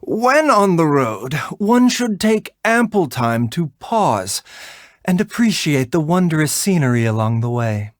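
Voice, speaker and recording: An actor playing a gentle, composed herbal doctor in a video game, polite and measured in manner. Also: male voice, fairly high pitch, sing-song, dry recording, studio quality. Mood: philosophical. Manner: soft-spoken, polite, measured, bedside courtesy. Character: gentle, courteous, composed male herbal doctor, frail yet unhurried. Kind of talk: video game character voice lines.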